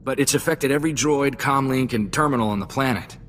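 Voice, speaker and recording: A man's voice: mid-range voice, fairly quick, fairly bright tone, a little hiss or hum, lightly acted. A man playing an earnest, loyal young sidekick in a space-adventure game, talking in a plainspoken, folksy, reflective way. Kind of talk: video game cutscene dialogue.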